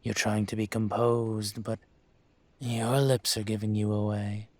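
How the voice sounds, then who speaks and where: A man playing a suave, menacing dragon mafia boss in an audio roleplay, measured and condescending with a teasing edge. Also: lowish voice, level tone, no echo. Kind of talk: scripted audio roleplay, intimate.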